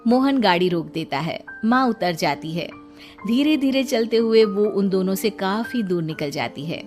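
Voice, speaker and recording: A woman's voice: medium pitch, expressive, measured pace, a little mellow. A woman reading a scripted story as its narrator. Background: background music.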